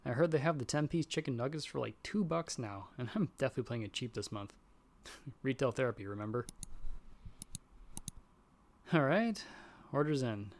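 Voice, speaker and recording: A man's voice: mid-range voice, lively intonation, moderate pace, long pauses. A man playing a nervous, self-doubting young friend in an audio roleplay, chatting casually and rambling with self-deprecating humor. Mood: casual, friendly.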